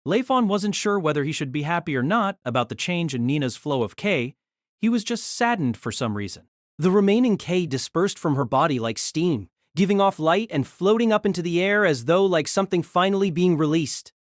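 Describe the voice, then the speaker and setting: A man narrating a novel aloud in an expressive storytelling manner. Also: a little high, fairly quick, dry recording, clean studio sound.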